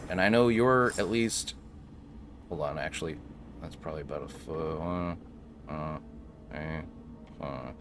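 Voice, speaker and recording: A man's voice: very deep voice, unhurried, long pauses, slight background noise. A man giving casual, sardonic, rambling gameplay commentary, with some swearing. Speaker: gaming video host.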